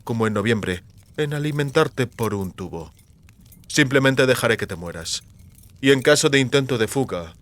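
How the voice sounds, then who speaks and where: A man's voice: medium pitch, natural voice. Man playing coldly formal villainous captor. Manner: measured, formal threats. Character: cold, courteous villain, a controlling male captor. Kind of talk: audiobook character acting.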